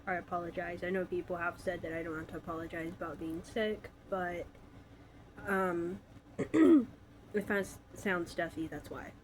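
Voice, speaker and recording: A woman's voice: on the low side, fairly even intonation, measured pace, slight background noise, low-quality recording. A woman in her twenties from Alabama, stuffy from a cold, telling her own story in a casual, hesitant vlog with many fillers. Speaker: vlogger.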